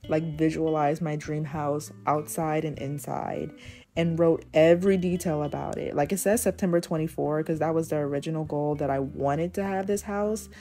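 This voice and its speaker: Hoarse young woman with a low, dark voice. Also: fairly even intonation, faint music behind. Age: 20s. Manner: deliberately speaking in a lower register. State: losing her voice, hoarse. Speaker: journaling channel host. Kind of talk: casual talk over journaling.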